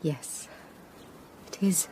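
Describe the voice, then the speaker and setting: An actress playing a grieving, gentle Tudor widow in a period radio drama, speaking with measured formality. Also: female voice, a little hiss or hum. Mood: wistful.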